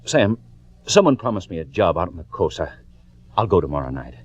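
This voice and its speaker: An actor playing an earnest, trusting wronged man in a radio drama, halting and subdued. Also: sits low, sing-song, slightly rough, dull audio, heavily acted. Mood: resigned, grateful. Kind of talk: 1940s radio acting.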